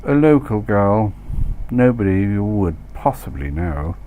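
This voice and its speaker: A man's voice: fairly low pitch, big pitch swings, a little slow, phone-quality sound. A man acts out a betrayed expat wife in a comic storybook reading. She is brittle and exasperated, speaking in terse, bitter bursts with little patience. Faint noise sits behind the voice. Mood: terse, wounded. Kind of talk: acted dialogue in comic short story reading.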